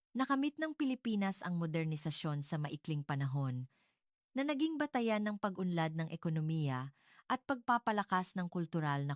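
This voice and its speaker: A woman with a low voice narrates a scripted story in a formal, measured way. The recording is dry and close, with hardly any room sound around her dramatic narration. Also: no room sound.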